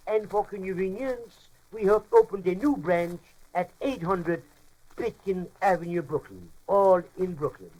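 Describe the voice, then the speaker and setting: A man's voice: high voice, animated, measured pace, darkish tone, rough recording. A man playing a pompous, bumbling comic French professor in a radio monologue, lecturing in muddled, mock-formal language and mangled words.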